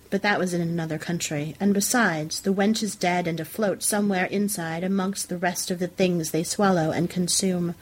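A woman's voice: fairly low pitch, low-quality recording. A woman reading a literary story aloud as a scripted narration for a fiction podcast.

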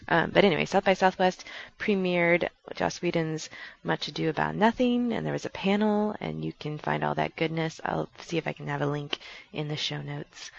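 A woman's voice: low-pitched, vocal fry, dull audio. A woman hosting a podcast in a casual, rambling, chatty and self-deprecating way, recorded on a headset. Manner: chatty, self-deprecating, laughing at own slips. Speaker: podcast host.

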